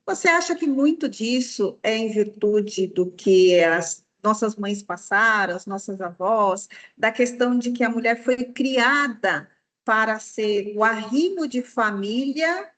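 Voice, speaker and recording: A woman hosts a podcast interview with a somewhat high voice. She talks in a welcoming, conversational way and asks open questions. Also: expressive, measured pace, fairly bright tone. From São Paulo, Brazil. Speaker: podcast host.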